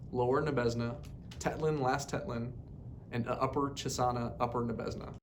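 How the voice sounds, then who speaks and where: A man reading a scripted educational narration in a formal way, recorded on a poor microphone. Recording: poor mic, clear rumbling background noise.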